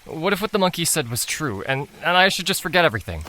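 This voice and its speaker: A man's voice: fairly high pitch, very animated, quick pace, background noise. A man playing an unsure, troubled boy in an audio drama, hesitant and questioning.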